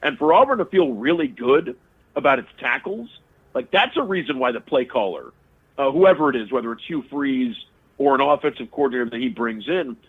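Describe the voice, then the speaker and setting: A man's voice: mid-range voice, lively intonation, fairly quick, husky. A man, a sports radio broadcaster, giving casual interview analysis of college football, often restarting his phrases as he thinks. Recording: tinny phone line.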